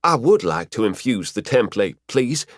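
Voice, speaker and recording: A man's voice: lowish voice, big pitch swings, moderate pace, no echo, studio quality. A man voicing a young male dungeon core, eager, naive and polite, in a fantasy audiobook. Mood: polite, tentative. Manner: eager, questioning. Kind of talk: audiobook character voice.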